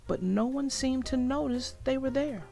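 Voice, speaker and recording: A woman narrating a children's story aloud, expressively and deliberately, as if to young listeners. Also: sits high, vocal fry, warm tone.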